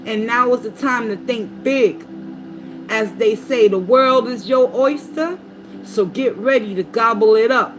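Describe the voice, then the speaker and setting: A woman's voice: on the high side, rough recording. A woman giving a tarot reading, reading card meanings aloud and dropping in casual asides to her viewers. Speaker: tarot reader. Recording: noticeable background noise.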